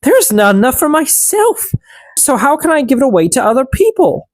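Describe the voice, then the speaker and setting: A spoiled, envious storybook girl , acted out by an audiobook reader, haughty and sulky. The voice is pitched high and swings expressively, with a dry sound. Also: sing-song, a little fast, no room sound. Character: spoiled, rude, envious young stepsister.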